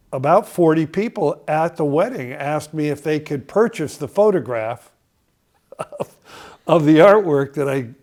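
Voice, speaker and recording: A man's voice: fairly high pitch, a little mellow. An older man from Connecticut, a podcast guest telling a long story, with many filler sounds and restarts. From Connecticut, USA.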